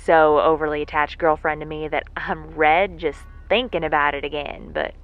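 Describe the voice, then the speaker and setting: A woman's voice: low-pitched, fairly even intonation, normal speed, lo-fi sound, natural voice. A woman playing a folksy, lovesick moonbase worker who rambles with wry self-deprecation in an audio drama monologue. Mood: wistful, rueful. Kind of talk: audio drama monologue, a spoken letter home. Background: faint music.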